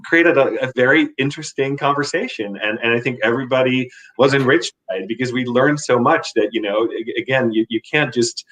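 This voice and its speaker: A man sharing stories on a panel over a video call, measured and reflective, with frequent hesitations. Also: medium pitch, a bit bright, rough recording. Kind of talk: conversational panel storytelling.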